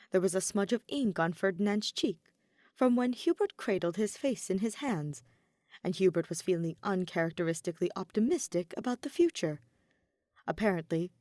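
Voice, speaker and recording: A woman's voice: mid-range voice, darkish tone, dry recording, clean studio sound. A woman reading fan fiction aloud as scripted story narration.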